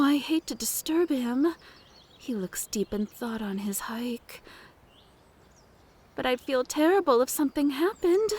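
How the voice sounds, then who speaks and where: A woman's voice: high voice, darkish tone, husky, heavily acted. A woman playing a flirtatious, mature, motherly cabin owner in an ASMR roleplay, coaxing and doting with pet names. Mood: admiring, concerned.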